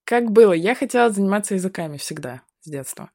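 A woman's voice: medium pitch, expressive, fast, darkish tone, dry recording, clean studio sound. A young woman from Buryatia talking casually and ironically in a chat, often laughing and swearing offhand. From Buryatia, Russia. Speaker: guest.

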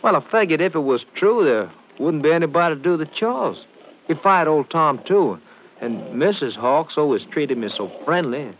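An actor with an American accent playing a polite, defensive Texas ranch hand with a hesitant, rustic way of talking in a 1950s radio drama. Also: male voice, on the high side, animated, normal speed, lightly acted. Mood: uneasy. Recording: muffled, noisy old radio recording.

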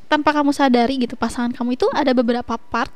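20s woman guest on a chill podcast, bit high voice, umms a lot. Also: racing, dry recording. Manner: relaxed, giggly, hesitant fillers. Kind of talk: casual podcast chat. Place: coffee shop.

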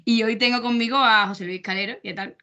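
A woman's voice: fairly high pitch, steady tone, fast talker, sharp tone, highs cut off. A young woman hosting her podcast in a loose, chatty way, with frequent restarts. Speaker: podcast host.

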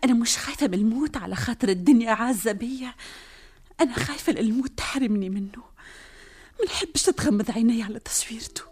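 A woman's voice: a little high, close to an everyday voice. A woman playing a lovesick, desperate young noblewoman. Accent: Tunisian. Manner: breathy whispered confessions. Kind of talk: radio drama acting.

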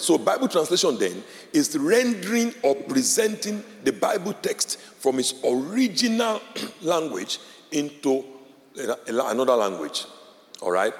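A man, a Yoruba speaker, teaching a Bible class as a pastor. He explains step by step, repeats key phrases and keeps checking in with his listeners. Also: medium pitch. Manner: explains step by step, checks in with "all right?" and "okay?". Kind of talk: classroom-style teaching talk. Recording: long hall reverb.

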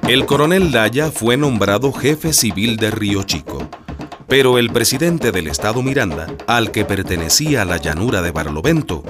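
A man's voice: fairly low pitch, animated, moderate pace, close to an everyday voice. A man as the solemn narrator of a historical radio drama, reading a scripted account. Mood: solemn narration. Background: music.

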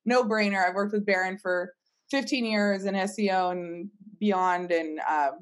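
A woman's voice: mid-range voice, fairly even intonation, a little slow. A woman speaking casually as a startup founder in an interview, telling stories and voicing her own past reactions. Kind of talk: casual conversational storytelling.

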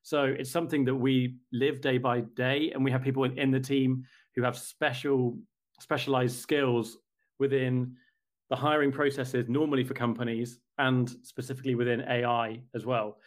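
A man answering interview questions about his company, in a measured, thoughtful way with occasional restarts. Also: mid-range voice, little pitch movement. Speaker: company representative, guest.

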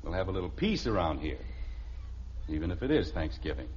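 A man's voice: deep voice, sing-song, a little fast. An actor playing a grumpy, stern middle-aged American father in an old-time radio sitcom. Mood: grumbling. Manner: stern, grumbling. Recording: muffled, noisy old radio recording.

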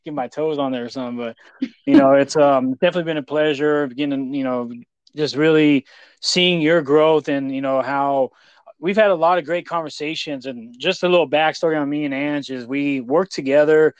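A man's voice: fairly high pitch, normal speed, clean tone, no echo, muffled recording. An American man hosting a casual interview, talking effusively in a rambling way with many repeats. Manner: effusive praise, rambling, repeats "you know". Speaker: podcast host.

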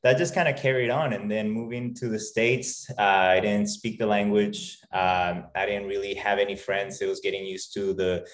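A man from Peru speaks in a notably low voice, telling his story as a podcast guest. He talks loosely and haltingly, full of "uh" and "you know" and restarted phrases. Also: fairly even intonation. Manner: halting, many fillers and restarts. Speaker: guest, fitness trainer. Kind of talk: casual interview talk.

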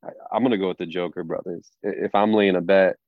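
A man's voice: low voice, fairly even intonation, fast talker, no echo. An American man co-hosting a casual sports show, giving blunt, opinionated takes in a conversational way over a dull, lo-fi microphone. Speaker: sports talk podcast co-host.

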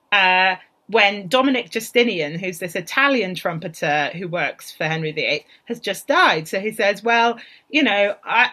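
A woman speaking as a podcast guest, telling history informally in an animated, chatty, wry way. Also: mid-range voice. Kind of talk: informal conversational storytelling about history.

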